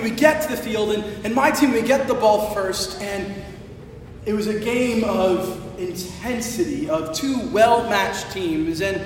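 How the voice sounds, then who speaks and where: A high-pitched male preacher speaks from some distance in a large, very reverberant hall. His voice is a little hoarse, and he tells his story conversationally, with animated emphasis and stretched-out phrases. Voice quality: slightly hoarse. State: hoarse from shouting the day before. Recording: echoey large hall, distant, faint rumbling noise.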